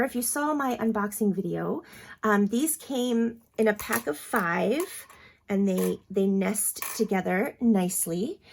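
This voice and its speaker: A sniffly woman talks through a craft project in a relaxed, rambling way, with plenty of ums along the way. Her voice has a gravelly fry and sits at a middle pitch. Faint noise hums behind her. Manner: chatty, many ums and asides. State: sniffly from allergies. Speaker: crafting channel host. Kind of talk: casual crafting tutorial.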